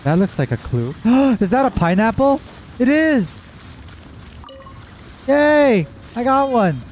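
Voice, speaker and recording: A man's voice: extremely high pitch, big pitch swings, takes it slowly, a little hiss or hum. A man giving casual, playful gaming commentary with wry asides as he plays. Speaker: gaming streamer reacting to a story quest. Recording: slightly dull, faint game music.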